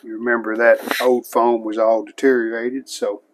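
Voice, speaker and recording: A man's voice: medium pitch, flat delivery, moderate pace, slight background noise. A man casually narrating his own hands-on restoration work as a hobbyist host, in a plain-spoken, show-and-tell way.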